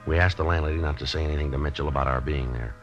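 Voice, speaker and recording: An American actor playing a terse, no-nonsense police detective in a 1950s radio drama, deadpan and businesslike. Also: male voice, very deep voice, very fast. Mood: flat, matter-of-fact. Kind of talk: 1950s radio drama acting, clipped procedural narration. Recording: muffled vintage radio recording, music cues.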